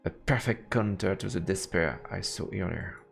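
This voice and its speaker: Low, gravelly man reading aloud. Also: moderate pace. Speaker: streamer playing a visual novel. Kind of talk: reading game narration aloud on a livestream. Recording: game music behind the voice. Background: game music.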